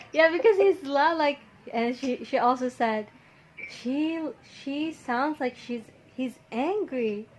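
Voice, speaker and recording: A high-pitched woman with a Filipino accent chats through a personal story in a casual, giggly way. She takes her time between phrases, and there is faint noise behind her. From Philippines. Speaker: vlogger recounting her visa experience.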